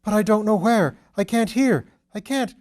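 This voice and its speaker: A man acting a frantic, high-pitched young English lord. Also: normal speed, a little mellow, natural voice. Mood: panicked. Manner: urgent, agitated, pleading. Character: shell-shocked young English lord, feverish and frightened. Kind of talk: audiobook character voice.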